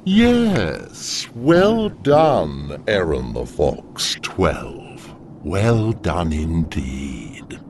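An actor playing a wrathful, menacing demon overlord in an audio drama, with slow, theatrical, accusing delivery. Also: male voice, sits low, huge pitch swings, darkish tone, slight background noise, heavily acted. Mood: menacing, taunting.

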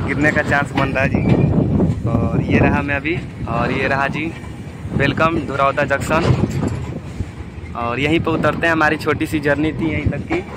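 A young man chats straight to his viewers as he films himself on a phone held at arm's length, often pausing on filler sounds. His voice sits a little high. The clear din of a railway station and a moving train sits behind him. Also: heavy background noise. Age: young adult. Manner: chatty, addresses viewers directly, frequent hesitation fillers. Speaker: travel vlogger. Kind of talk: casual travel vlog. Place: railway platform and train carriage. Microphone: phone held at arm's length for selfie.

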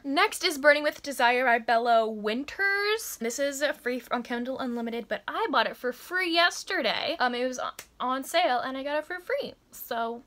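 A woman's voice: fairly high pitch, fairly bright tone. A woman of twenty chatting about books and reading blurbs aloud in a casual way as a vlogger. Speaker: book vlogger. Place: indoors in a room with bookshelves.

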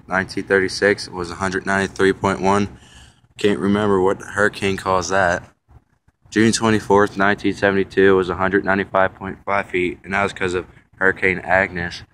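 A young man casually narrating a tour of a river dam outdoors, filming on a phone, halting with many fillers and restarts. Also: low-pitched, fairly bright tone, slight background noise, low-quality recording. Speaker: vlogger filming a local landmark. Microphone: phone camera held while walking.

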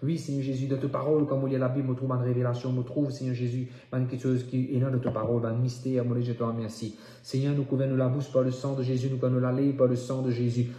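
Male prayer leader, soft-edged mellow tone, repetitive incantatory delivery, roomy reverberant sound. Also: medium pitch, little pitch movement, fast, hardly any pauses. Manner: incantatory, repeating phrases with emphasis. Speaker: preacher leading prayer. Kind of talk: fervent prayer and declaration, repetitive. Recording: echoey room sound.

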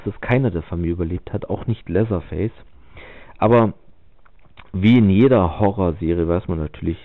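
A very deep-voiced man with a German accent talks through a film review, pausing often with fillers. The recording is dry and tinny, like a phone line. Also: no room sound. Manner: casual, many hesitations and fillers. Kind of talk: spoken film review voice-over. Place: voice-over over film clips.

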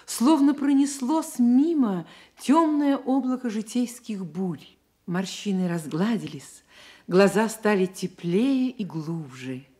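A woman narrating a radio drama in a measured, literary storytelling manner. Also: mid-range voice, expressive, dark tone, slightly rough.

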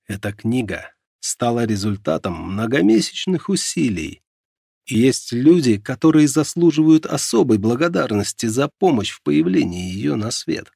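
A man reading an audiobook as a scripted narrator, in measured and clearly phrased delivery. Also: mid-range voice, mellow, no room sound, clean studio sound.

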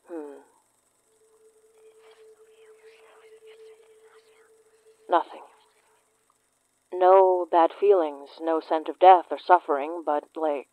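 Female twenties investigator, low and dry-sounding, deadpan tease, world-worn, for a horror audio drama. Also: dry recording, narrow, tinny audio. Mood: wry, teasing. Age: 20s. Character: wry, teasing, trauma-worn young woman investigator with psychic senses. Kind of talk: naturalistic audio drama acting.

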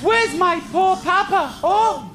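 An actor playing an excitable, naive wooden puppet boy in a pantomime, wide-eyed and exclaiming. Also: very high-pitched, slow, exaggerated voice. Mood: searching. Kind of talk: broad pantomime acting. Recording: studio with audience noise.

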